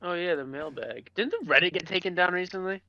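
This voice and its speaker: A man chatting casually as a podcast co-host, rambling and halting as he goes. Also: sits high, pushed and bright, dry recording, highs cut off. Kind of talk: casual chat.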